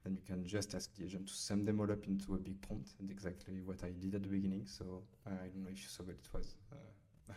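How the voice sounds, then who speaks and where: A man with a French accent, casually talking through a software tutorial at his computer, pausing often with fillers as he types. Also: low-pitched, completely flat delivery. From France. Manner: hesitant, many fillers while typing. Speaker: software demo host. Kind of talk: casual screen-recorded tutorial.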